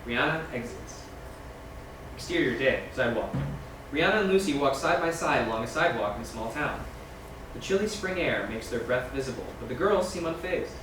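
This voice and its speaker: A man's voice: mid-range voice, lively intonation, a little fast. A man reading scene directions as scripted narration at a screenplay table read. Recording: distant, very echoey room with background noise.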